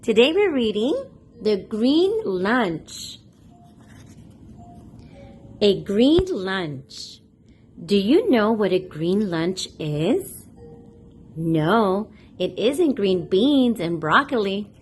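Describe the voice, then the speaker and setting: A woman reading a picture book aloud for young children, speaking deliberately and addressing her listeners directly. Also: medium pitch, sing-song, a little hiss or hum. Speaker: host of a children's read-aloud channel.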